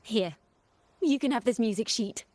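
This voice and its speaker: High-voiced young wandering bard played by a woman. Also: moderate pace, lightly acted. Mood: earnest. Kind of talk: video game cutscene acting. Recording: loud music behind. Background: music.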